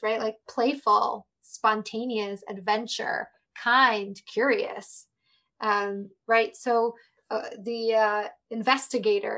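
Woman guest, slightly high voice, thoughtful, laughs easily, talks to the audience. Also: a bit bright. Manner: reflective, laughs often, speaks to listeners directly. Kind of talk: conversational podcast interview.

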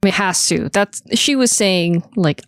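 A woman's voice: medium pitch, unhurried. A woman co-hosting a podcast in casual conversation. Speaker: podcast co-host. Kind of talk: casual chat.

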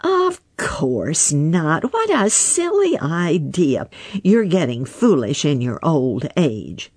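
Reader doing sulky young otter, high and snappish, storybook. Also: very animated, slow, darkish tone, no echo, studio quality. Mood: angry snapping. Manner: snapping, indignant. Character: angry sulking young male otter.

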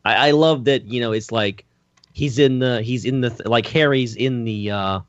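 A man's voice: mid-range voice, normal speed, no echo, highs cut off. A man co-hosting a casual film podcast, speaking hesitantly with lots of fillers and restarts. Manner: hesitant, many fillers like "like" and "you know". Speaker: podcast co-host.

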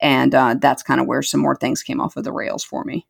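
A woman's voice: extremely low pitch, flat delivery, fairly quick, vocal fry, slightly rough. A middle-aged woman telling her life story as an interview guest, earnest and reflective, repeating words for emphasis.

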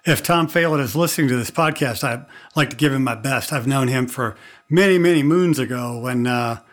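A man's voice: mid-range voice, a little fast. A middle-aged man from Missouri hosting a podcast interview, chatty with self-deprecating humor. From Missouri, United States. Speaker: podcast host.

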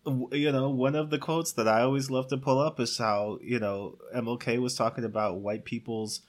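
A man's voice: mid-range voice, steady tone, normal speed. Man in a casual, exasperated film chat. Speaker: podcast co-host.

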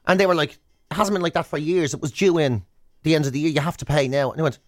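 A man's voice: fairly high pitch, quick pace. A man with an Irish accent chatting casually as a radio host, telling comic anecdotes and acting out both sides of the dialogue. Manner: animated storyteller, acting out dialogue. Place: radio studio.

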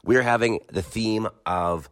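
Casting: low-voiced male podcast host, hoarse from a cold, slow and measured delivery. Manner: measured, with frequent pauses and fillers. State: hoarse, losing his voice from a cold. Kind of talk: monologue commentary, partly reading a statement.

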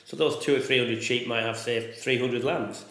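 A man who is a sheep farmer, talking casually in a loud voice and often ending with tag questions. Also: medium pitch, fairly even intonation, normal speed, reverberant. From England. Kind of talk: casual conversation.

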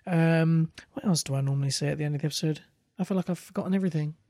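A man's voice: fairly high pitch, rapid-fire, mellow, no echo. A young man with a British accent co-hosting a podcast, chatting casually with dry, rambling teasing. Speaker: podcast co-host. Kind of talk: casual chat.

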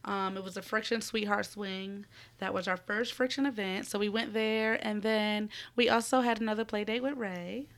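A woman with a husky, cold-roughened voice talks into a microphone at home. She rambles, giggles and swears easily in a loose podcast chat. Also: mid-range voice, fairly even intonation, moderate pace. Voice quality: raspy. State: recovering from a cold. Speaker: podcast co-host. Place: home room. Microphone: studio microphone.

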